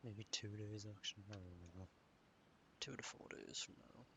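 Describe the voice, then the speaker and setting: A man's voice: fairly low pitch, steady tone, normal speed, vocal fry, bright, forceful tone, a little hiss or hum. A man giving casual, rambling video game commentary, half muttering to himself as he plays. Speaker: gaming streamer. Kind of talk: casual solo gameplay commentary.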